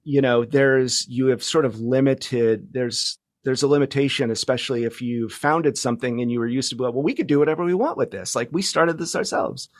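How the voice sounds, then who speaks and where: A man talks as a guest in a relaxed interview. His voice sits at an average pitch in a very dry recording. He speaks reflectively and haltingly, circling back to restart phrases. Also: no room sound. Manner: halting, reflective, many false starts. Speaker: podcast guest, media founder and journalist. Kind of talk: casual interview conversation.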